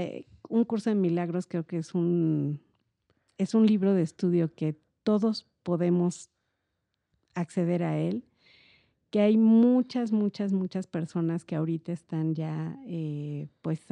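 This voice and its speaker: A middle-aged Mexican woman talks casually and reflectively, circling around her ideas. Her voice is gravelly and soft-edged, recorded dry and close. She explains in an informal, wandering way. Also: lowish voice, takes it slowly, dry recording. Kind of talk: casual conversational explanation on a podcast.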